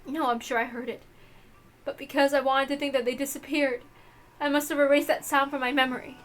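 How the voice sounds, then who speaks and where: A woman's voice: high voice, level tone, brisk. A woman voicing a proud, guilt-ridden mother confessing murder in a dramatic, emotional visual novel read-aloud. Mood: somber, remorseful. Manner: theatrical, emotional, swinging from hushed to impassioned.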